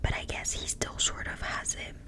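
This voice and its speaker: French-accented woman, native French speaker, reading a book aloud in a steady, unvoiced ASMR whisper; trips over words and apologizes. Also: normal speed. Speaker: ASMR creator.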